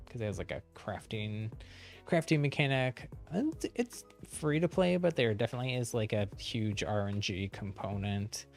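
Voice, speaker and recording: A man talking casually as he livestreams a game, thinking aloud in a halting, informal way. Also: on the low side, a little mellow. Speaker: livestreamer, channel host. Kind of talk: casual game stream commentary and chat.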